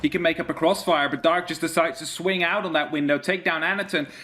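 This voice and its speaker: Higher-voiced man commentating esports, non-native English speaker. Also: steady tone, fast, bright, forceful tone, slight background noise. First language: not English. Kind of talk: live play-by-play commentary with casual banter.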